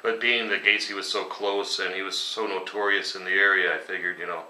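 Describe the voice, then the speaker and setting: Low-voiced man, rambling in an echoey room. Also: little pitch movement, fairly quick, pushed and bright, slight background noise. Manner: casual, rambling, many ums, chuckles at grim stories. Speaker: metal band singer, interview guest. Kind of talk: relaxed conversational interview answers. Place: dining room at home, indoors. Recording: echoey room, voice a bit distant.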